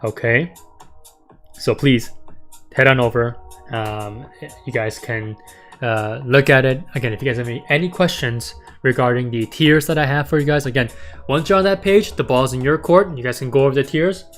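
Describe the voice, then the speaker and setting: A man hosting a stock market livestream in casual chat, his voice raspy and sore, rambling and repeating words, often tagging "right?" and "okay?". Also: medium pitch, moderate pace, a bit bright, soft background music.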